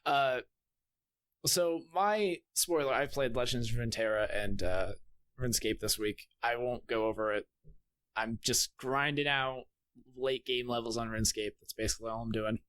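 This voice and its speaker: Male podcast co-host, medium pitch, relaxed conversational delivery for casual gaming chat. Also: moderate pace, clear, resonant tone, rough recording.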